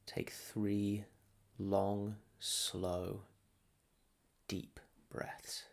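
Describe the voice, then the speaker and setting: A man leading a guided meditation as a teacher, soothing and unhurried, leaving long pauses between short phrases. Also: on the low side, monotone, vocal fry. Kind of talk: guided meditation, calm instruction.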